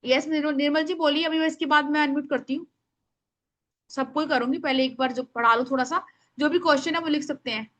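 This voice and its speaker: Female online teacher. High-pitched, forceful and loud, informal but instructive, with emphatic delivery and English words mixed in. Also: steady tone, fast. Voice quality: loud, strong voice. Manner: instructive, emphatic, addresses listeners by name; mixes English words into speech. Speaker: astrology remedies teacher. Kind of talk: live online class, informal explaining.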